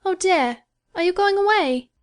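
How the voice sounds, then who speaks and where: Woman voicing a storybook girl, eager, motherly, very high voice. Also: lively intonation, measured pace. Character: earnest motherly young girl.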